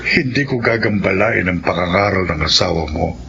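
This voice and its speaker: An actor playing a patient, persistent Filipino parish priest in a radio horror drama. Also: male voice, low-pitched, moderate pace, creaky, pushed and bright, exaggerated voice. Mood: calm, firm. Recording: dull radio sound, faint noise.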